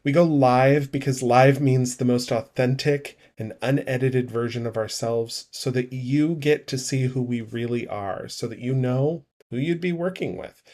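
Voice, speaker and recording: A man with an average pitch hosting a live coaching show. He sounds upbeat and affirming, reading his intro smoothly before moving into questions. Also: darkish tone. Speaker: show host. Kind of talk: scripted show intro then interview.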